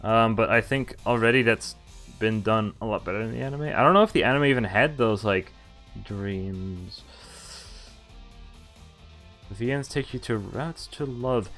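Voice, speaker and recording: Male game streamer, lowish voice, casual read-aloud with self-corrections over faint music. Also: moderate pace, pauses often, fairly bright tone. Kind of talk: reading visual novel text aloud with casual asides.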